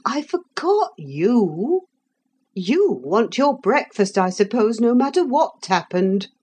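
A woman reading aloud, voicing a sharp, resentful children's nurse who scolds a boy. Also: medium pitch, animated, a little slow, a little hiss or hum, pitched above the performer's own voice, lightly acted. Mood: weary. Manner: snappish, scolding.

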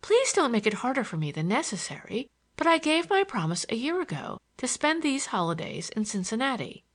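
A woman reading a storybook part as a poised young woman, gracious and gently pleading. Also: fairly high pitch, very animated, brisk, pitched above the performer's own voice. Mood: regretful pleading.

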